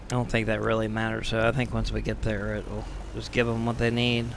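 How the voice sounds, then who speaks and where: A man with a hoarse voice that is giving out, casually commenting on a game on a live stream with wry, grumbling asides. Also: on the low side, monotone, a little fast, noisy background. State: hoarse, losing his voice. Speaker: gaming streamer.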